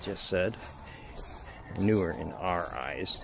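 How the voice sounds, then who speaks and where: A man's voice: low voice, expressive, slow pace, creaky, background noise. A middle-aged American man giving casual, wry, folksy walking-tour commentary as a vlogger on a handheld camera outdoors. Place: outdoors in an overgrown field. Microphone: handheld action camera.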